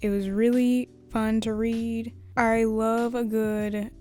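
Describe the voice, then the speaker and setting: Young woman, slightly scratchy, casual chatty voice-over, warm tone, soft music behind. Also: fairly high pitch, flat delivery, slow pace. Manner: chatty, rambling, laughs often. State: slightly hoarse from singing. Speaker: art YouTuber. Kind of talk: casual voice-over while drawing. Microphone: USB studio microphone.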